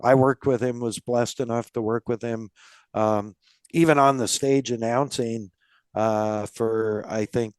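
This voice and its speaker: A man's voice: medium pitch, a little mellow, smooth, dry recording. A man hosting a podcast, chatting casually with a guest and talking in a rambling way, with lots of fillers and restarts. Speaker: podcast host. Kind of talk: casual interview chat.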